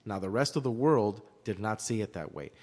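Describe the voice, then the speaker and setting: A man's voice: fairly low pitch, animated, brisk, darkish tone. A man explaining his opinions at length in a discussion, emphatically repeating phrases for effect. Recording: long hall-like reverb.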